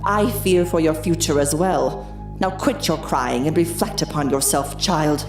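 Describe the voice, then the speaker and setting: An actress playing a cold, strict headmistress who speaks with stern religious severity. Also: female voice, low voice, a little slow, echoey room, music in the background. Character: cold, strict older headmistress, a former nun.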